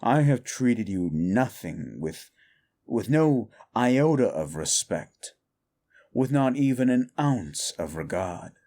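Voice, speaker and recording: A man voicing a brooding, scornful fallen demon in an audio roleplay, with a deep gravelly voice and a slow way of talking. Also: mellow, exaggerated voice. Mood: bitter.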